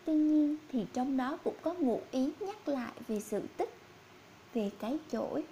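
A woman's voice: high voice, expressive, unhurried, warm tone, dull audio, a little hiss or hum. A woman narrating scripted fairy tales for young children as a storyteller.

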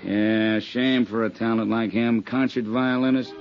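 A dull old radio recording with clear music behind carries a working-class American bartender. He speaks in a folksy, ungrammatical, unhurried way, as in a fifties detective serial. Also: lowish voice, fairly even intonation. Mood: regretful. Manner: colloquial, ungrammatical, unhurried talk. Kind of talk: 1950s radio drama acting.

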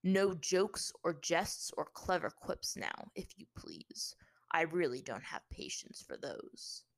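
Woman acting smug, menacing male captor, low-pitched. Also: slow, creaky, no room sound, in a whisper, full character voice. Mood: cold, threatening. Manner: calm, offhand, condescending taunting.

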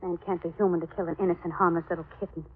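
A woman's voice: on the low side, level tone, very fast, husky. An actress playing an anxious, loyal female companion, refined and wry, in an old radio mystery drama. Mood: worried. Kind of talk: 1940s radio drama acting. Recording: narrow, muffled 1940s radio recording.

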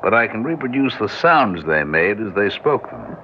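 A very deep-voiced alien doctor of science, detached and deliberate. He explains things in a measured, pedantic way, as in classic radio drama. The recording is muffled and hissy. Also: big pitch swings. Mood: dry, matter-of-fact. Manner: measured, pedantic, hesitant at times. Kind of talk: old-time radio drama acting.

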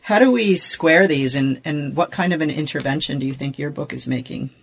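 A man's voice: on the high side, a little fast, creaky, breathy edge. A man interviewing a guest in a conversational way, with informal, roundabout questions.

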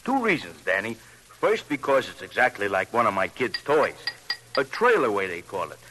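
An actor playing a rambling, long-suffering police detective with whimsical mock fascination, in vintage radio crime drama style. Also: male voice, on the low side, sing-song, normal speed, pushed and bright, soft background music, heavily acted. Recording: faintly noisy old radio recording.

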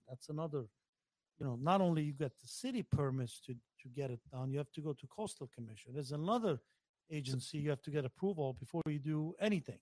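A man talks in a casual group chat. His voice sits a little high and is recorded dry. He tells things haltingly and repeats words to stress them. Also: darkish tone, dry recording, rough recording.

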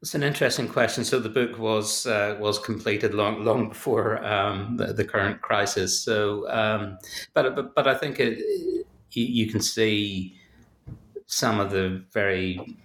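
A man's voice: on the low side, fairly even intonation, a little slow, a bit bright, reverberant. A man with a Belfast accent, an author giving thoughtful, hesitant interview answers full of fillers and restarts. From Northern Ireland. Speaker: author and academic guest.

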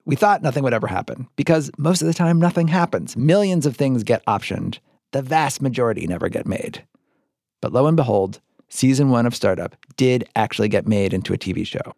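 A man's voice: mid-range voice, expressive, brisk, vocal fry, a little mellow, no room sound, studio quality. An American man hosting and narrating a podcast in a scripted but conversational storytelling style.

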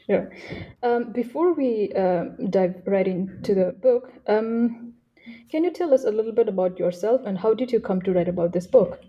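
A woman's voice: medium pitch, normal speed, dull audio. A woman hosting a podcast and reading out a guest introduction, with small slips and restarts. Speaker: podcast host.

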